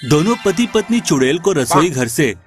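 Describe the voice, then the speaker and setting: Male narrator for animated folk tales; scripted storytelling, fairly high register, light music bed. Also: normal speed.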